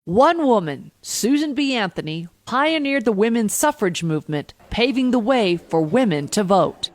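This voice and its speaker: Woman reporter with a lively voice. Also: fairly high pitch, normal speed, dry recording. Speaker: news correspondent. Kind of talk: scripted news narration.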